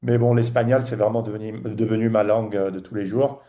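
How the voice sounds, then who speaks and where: A man in his forties from Tunisia, a guest on a podcast, talking casually in a halting way with many restarts and fillers. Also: fairly low pitch, steady tone, warm tone. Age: 40s. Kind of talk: casual interview chat.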